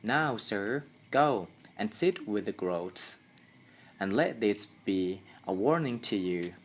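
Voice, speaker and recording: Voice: deep voice, expressive, slow pace, full character voice. A young reader with a Thai accent voicing a stern, formal male schoolteacher who scolds a pupil in an audiobook.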